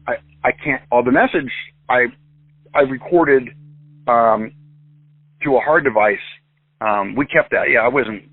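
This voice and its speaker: A man working as a private investigator speaks haltingly in a recorded phone interview, often stopping and restarting his sentences. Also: medium pitch, no echo. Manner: halting, with many false starts and restarts. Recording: tinny, dry phone line.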